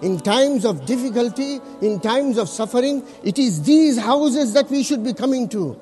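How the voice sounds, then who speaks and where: High-voiced imam preaching earnestly. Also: male voice, lively intonation, a little mellow, soft background music. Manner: earnest preaching, Arabic quotations recited quickly, repeats phrases for emphasis. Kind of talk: Friday sermon.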